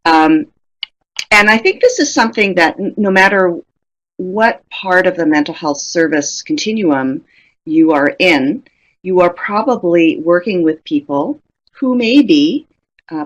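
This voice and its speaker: Low-voiced woman presenting a webinar. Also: expressive, a little slow, highs cut off. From Canada. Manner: conversational, reflective, asks the audience questions.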